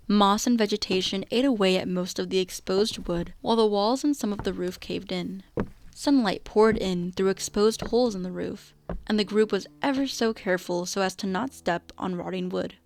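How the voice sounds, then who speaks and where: A woman's voice: mid-range voice, moderate pace, a little mellow, a little hiss or hum. A woman reading scripted narration for a radio drama as the storyteller.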